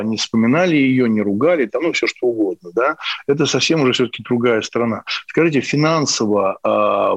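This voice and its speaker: Husky guy hosting an interview, musing and emphatic, dry slightly muffled sound. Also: medium pitch, no echo. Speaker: interview host. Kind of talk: conversational interview questioning.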